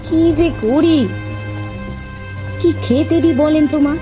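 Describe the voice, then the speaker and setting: A woman's voice: high-pitched, slow, music in the background. A woman voicing an anxious, poor village mother in a folk-tale cartoon, plaintive and complaining.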